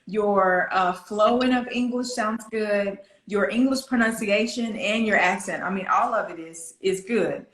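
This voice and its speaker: A woman's voice: medium pitch, measured pace, hardly any pauses, reverberant, poor audio. A woman with an American Southern accent coaching one-to-one, explaining things directly and checking in with questions. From southern United States. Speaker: English pronunciation coach.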